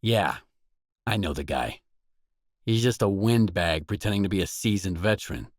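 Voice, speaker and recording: A man's voice: low-pitched, moderate pace, no room sound, clean studio sound. An actor playing a wary, pragmatic male loner stalker in a video game, speaking in a terse, blunt, matter-of-fact way. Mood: blunt, disapproving. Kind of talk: game dialogue voice lines.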